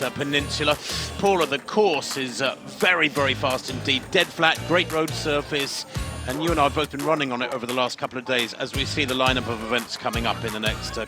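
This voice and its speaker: A man calling a road race live, setting the scene and chatting with his co-commentator. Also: mid-range voice. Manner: scene-setting, conversational, addresses co-host.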